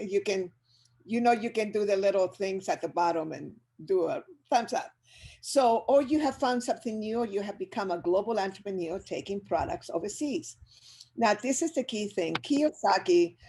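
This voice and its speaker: An older woman with a Chilean Spanish accent, for whom English is a second language. She talks to her audience over a video call in an upbeat, motivational way, with a mellow, warm tone. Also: mid-range voice. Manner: energetic, upbeat, addresses audience directly. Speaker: business speaker. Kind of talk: motivational webinar talk.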